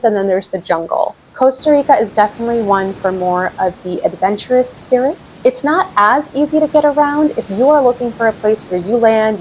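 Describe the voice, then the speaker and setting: A woman in her thirties giving solo travel recommendations in a vlog, speaking in a clear, steady, informative way. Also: medium pitch, poor audio. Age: 30s. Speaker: travel vlogger.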